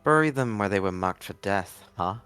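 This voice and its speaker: Man playing a cold, low-voiced villainous cat deputy. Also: animated, moderate pace, a bit bright, faint music behind. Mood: cold taunting. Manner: confident, smooth, taunting. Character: cold, cunning male warrior cat deputy, evil schemer. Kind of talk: text roleplay acted aloud.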